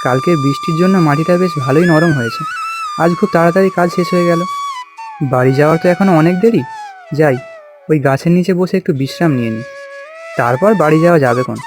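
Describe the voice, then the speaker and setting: A man's voice: fairly high pitch, huge pitch swings, fast talker, music in the background. A man voicing a hardworking village farmer in a cartoon, with a folksy, exclamatory way of talking. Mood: relieved, musing. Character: hardworking village farmer husband, hopeful and plain-spoken. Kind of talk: cartoon voice acting.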